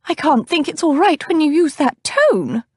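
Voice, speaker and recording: Woman putting on a fussy old lady chaperone, high fluttery huffy voice, dry close sound. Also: animated, moderate pace, a little mellow, dry recording. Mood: fretful. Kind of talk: audiobook character voice.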